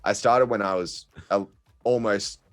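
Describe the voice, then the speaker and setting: A man's voice: lowish voice, steady tone, unhurried. A young man talking casually as a podcast guest, hesitant, with many ums and restarts. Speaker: guest content creator.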